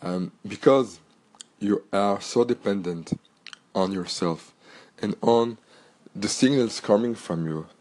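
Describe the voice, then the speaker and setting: A middle-aged man with a French accent, hoarse from a cold, hosting a solo podcast in an earnest, motivational way. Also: lowish voice, takes it slowly, a little mellow. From Belgium. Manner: earnest, repetitive and motivational, with restarts. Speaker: podcast host.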